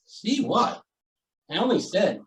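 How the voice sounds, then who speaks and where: A man's voice: high-pitched, very animated, slow pace, roomy sound, distant mic, full character voice. A man playing an exasperated, sarcastic newspaper editor in old-time radio style, blustering through his lines. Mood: sarcastic exasperation. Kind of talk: old-time radio comedy-thriller acting.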